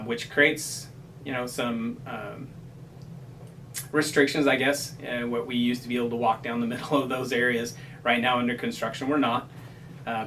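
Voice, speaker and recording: Halting male administrator, flat monotone delivery, faint background hum. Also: medium pitch. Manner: halting, lots of fillers. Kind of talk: interview.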